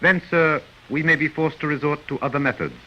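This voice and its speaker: An actor playing a humanoid robot servant in a radio drama, formal and emotionless, politely relentless and controlling. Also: male voice, a little high, brisk, dull audio, natural voice. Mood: polite menace. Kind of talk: 1950s American radio drama acting. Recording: hissy old radio recording.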